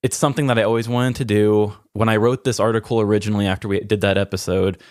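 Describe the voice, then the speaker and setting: A man's voice: lowish voice, flat delivery, quick pace, darkish tone, no room sound, studio quality. A man hosting a podcast, talking candidly and personally in an earnest, reflective way. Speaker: podcast host.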